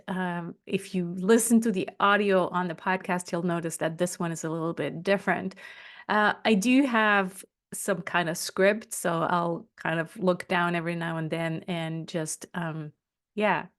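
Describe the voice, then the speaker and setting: A middle-aged woman from Switzerland presenting a solo podcast, talking directly to listeners from loose notes. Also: medium pitch. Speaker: podcast host and business coach. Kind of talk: solo podcast talk to camera, partly scripted.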